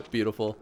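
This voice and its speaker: A man streaming a horror game live, chatting casually and yelling excitedly at the action. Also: sits high, vocal fry. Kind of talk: live gameplay commentary.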